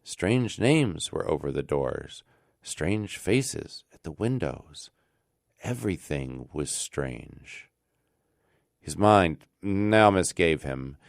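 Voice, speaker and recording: An American man reads a classic tale as a storyteller, in an expressive, deliberate manner with dramatic emphasis. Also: sits low, creaky, dry recording, studio quality. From United States. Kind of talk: scripted narration of a classic tale.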